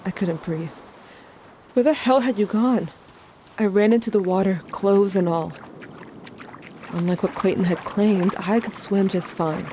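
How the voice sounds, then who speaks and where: A man's voice: high-pitched, a little slow, pauses often, dark tone, a little hiss or hum. A man playing a protective, grieving young friend, narrating events earnestly and intimately in an audio drama. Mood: urgent, relieved.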